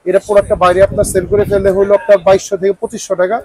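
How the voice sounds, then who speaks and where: A man's voice: sits high, little pitch movement, racing, darkish tone, poor audio. A man with a Bangladeshi accent presenting products and prices informally as a shop salesman, with repetitive patter and some English words.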